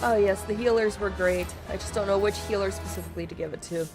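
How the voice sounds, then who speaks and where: A woman's voice: medium pitch, fast talker, over music. A woman chatting casually as she plays an online game on a livestream. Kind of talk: gaming livestream chat, reading game dialogue aloud.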